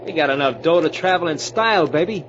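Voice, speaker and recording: An actor playing a tough, impatient small-time crook in a 1940s-style radio crime drama, with an American accent and a bossy, slangy manner. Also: male voice, a little high, lively intonation, quick pace, natural voice. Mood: irritated, bullying. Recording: dull, noisy old radio recording.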